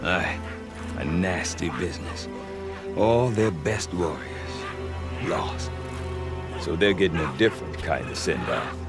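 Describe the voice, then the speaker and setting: A man's voice: deep voice, expressive, slow, long pauses, loud music behind the voice, heavily acted. An actor playing a cynical male merchant who grumbles sardonically about the locals in a game cutscene. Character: cynical male trader, scornful of local folk.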